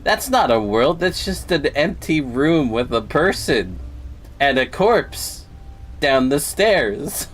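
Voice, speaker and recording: A man's voice: a little high, big pitch swings, slow pace. A man livestreaming a game, reacting casually as he plays.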